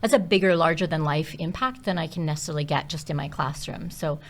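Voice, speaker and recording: A woman's voice: sits low, fast. A middle-aged woman, a hospitality instructor, chatting casually on a podcast with many fillers and small laughs.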